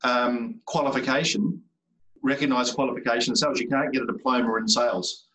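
A man's voice: medium pitch, fairly even intonation, a little fast, a crowd in the background. Aussie sales guy on a podcast, punchy repeats, sounds far from the mic in an echoey room. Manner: emphatic, repeats words for effect, swears casually. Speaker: sales trainer guest. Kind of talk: casual podcast conversation. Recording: roomy, distant from the mic.

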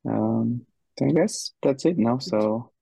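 A man's voice: mid-range voice, slow pace, creaky, dark tone, breathy edge, no echo. A man from Romania, answering interview questions in a hesitant, thinking-aloud way with many fillers. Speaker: guest, head of people.

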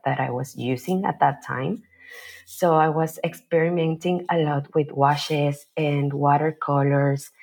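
A woman's voice: deep voice, vocal fry, darkish tone, low-quality recording. A woman from Mexico City with a Mexican accent, a native Spanish speaker, talking casually and reflectively as an interview guest, thinking aloud as she goes. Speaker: podcast guest, visual artist.

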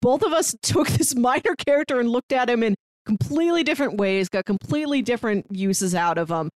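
A woman's voice: on the high side, expressive, a little fast, dry recording. A woman co-hosting a podcast, talking casually and chattily as she reviews stories. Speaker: podcast co-host.

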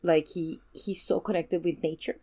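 Low-voiced woman chatting as podcast guest. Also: fairly even intonation, normal speed, warm tone, husky. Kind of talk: casual chat.

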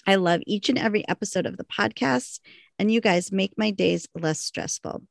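A woman's voice: medium pitch, normal speed, creaky, no room sound, studio quality. A woman co-hosting a podcast in casual chat, reading out a listener's email and joking with her co-host. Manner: laid-back, laughing, self-teasing.

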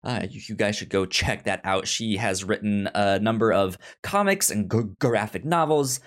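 A man's voice: fairly low pitch, expressive, normal speed, fairly bright tone. A man hosting a podcast in casual conversation, often stammering and repeating syllables and words. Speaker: podcast host.

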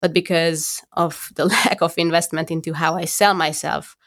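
A woman with a Hungarian accent speaks in a low, gravelly register. She talks slowly and reflectively, often stopping to search for the right word. The conversation has a relaxed, at-home podcast feel. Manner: thoughtful, searching for words with restarts. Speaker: podcast co-host. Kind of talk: casual conversation.

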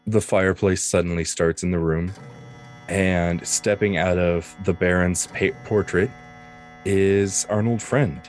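A man's voice: low-pitched, fairly even intonation, measured pace, darkish tone. A man narrating as game master, improvising the scenes of a tabletop roleplaying game.

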